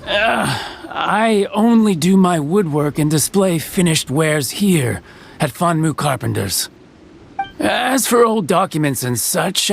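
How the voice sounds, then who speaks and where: A chuckling old carpenter. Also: male voice, on the high side, vocal fry, a little hiss or hum, heavily acted. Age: older. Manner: chuckling, easygoing. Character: jovial old male carpenter, folksy. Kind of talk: video game voice acting.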